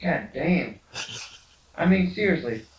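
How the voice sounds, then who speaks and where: A man's voice: fairly high pitch, lively intonation, slow pace, muffled recording, background noise, pitched above the performer's own voice. Man putting on an indignant American blowhard, roomy recording. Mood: aggrieved. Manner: aggrieved, profane, slangy complaining. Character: indignant patriotic male American blowhard, comic rant. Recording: roomy homemade video, laughter over it.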